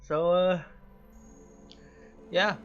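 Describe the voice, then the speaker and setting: A man with a slightly high voice talks through a game in a casual, reflective way. He often doubles back on his phrases. The microphone sound is a bit dull, with music softly behind him. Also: fairly even intonation. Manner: reflective, halting and repeating phrases. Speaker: gaming video host. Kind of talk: casual playthrough commentary.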